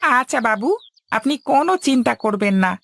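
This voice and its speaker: High, creaky-voiced scheming servant played by a woman. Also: brisk, a bit bright. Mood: obedient. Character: servant, male, obedient to master, sly and bullying. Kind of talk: cartoon voice acting.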